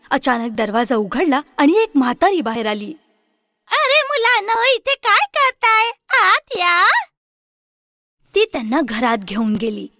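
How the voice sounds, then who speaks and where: A woman's voice: fairly high pitch, dry recording, clean studio sound. A woman reading a scripted fairy tale for children as the storyteller.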